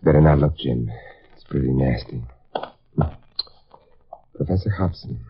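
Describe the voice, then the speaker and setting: An actor playing a suave amateur sleuth with dry, teasing wit in a 1950s radio drama. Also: male voice, very deep voice, a little slow, a little hiss or hum. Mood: grave, calm. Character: suave, wry adult male amateur sleuth. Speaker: radio actor, series lead. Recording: muffled vintage radio recording.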